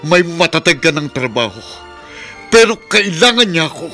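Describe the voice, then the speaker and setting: A devoted, defiant Filipino-accented man in a radio drama. His voice is high for a man and slightly bright, earnest and impassioned, with some music behind. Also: big pitch swings, moderate pace, heavily acted. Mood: pleading, resolute. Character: devoted, defiant man pleading for someone he loves.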